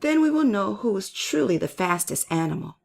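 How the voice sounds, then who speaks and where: A woman voicing a modest, steady young male tortoise in a children's storybook, calm and plain-spoken. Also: mid-range voice, fairly quick, vocal fry. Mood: determined.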